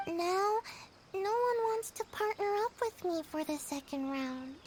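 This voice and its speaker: A woman's voice: extremely high pitch, lively intonation, a little slow, a little mellow, slight background noise. Drowsy, timid little girl. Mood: glum. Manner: sleepy, earnest, subdued. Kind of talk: video game cutscene acting. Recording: game music behind the voice.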